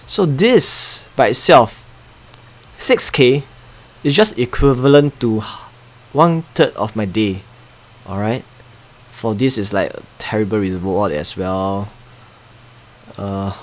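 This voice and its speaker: Young Singaporean male game streamer, Singaporean accent; casual, chatty commentary with muttered asides. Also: mid-range voice. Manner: chatty, muttering asides, reading chat aloud.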